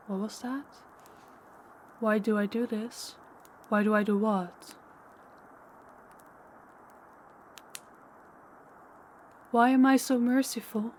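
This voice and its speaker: Casting: actress as old, powerful vampire woman for audio roleplay; mid-pitched, deliberate, taunting menace. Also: a little mellow, a little hiss or hum, close to an everyday voice. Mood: wistful, proud. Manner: slow, deliberate, taunting, dropping into whispers. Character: ancient, powerful female vampire, former huntress, teasing and menacing. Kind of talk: intimate audio roleplay acting.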